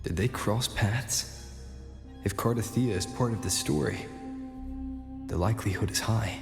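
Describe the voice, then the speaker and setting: A man voicing a thoughtful, composed young wanderer in a video game, reasoning in a measured, serious inner monologue. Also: fairly low pitch, natural voice. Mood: questioning. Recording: echoey reverb effect, music behind.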